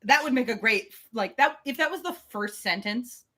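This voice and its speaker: A woman with a fairly high voice and very animated intonation hosts a live novel reading. She moves between expressive narration and informal, amused commentary. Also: moderate pace, husky. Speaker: host reading a novel on a livestream. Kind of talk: live book reading with casual asides.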